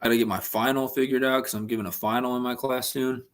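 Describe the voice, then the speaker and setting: A man's voice: mid-range voice, steady tone, fast. Young guy on a fishing livestream, voice getting a bit worn out, just chatting with chat. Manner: chatty, reads out and answers chat messages. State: losing his voice a little. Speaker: livestream host.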